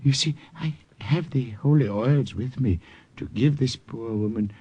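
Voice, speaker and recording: A man playing a calm, wily, kindly priest, speaking courteously and unhurriedly in an old-time radio drama. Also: medium pitch, slightly rough. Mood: courteous, pleading. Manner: measured, courteous, unruffled. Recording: noisy vintage radio recording.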